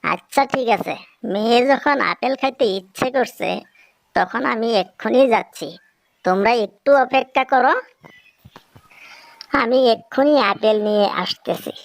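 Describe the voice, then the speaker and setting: A woman plays a dutiful little father tailorbird from a cartoon. He is timid, courteous and hesitant, with a fairly high voice and a dry sound. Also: measured pace, vocal fry, pauses often, no echo. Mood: willing. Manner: polite, hesitant, apologetic. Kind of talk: Bengali cartoon character dialogue.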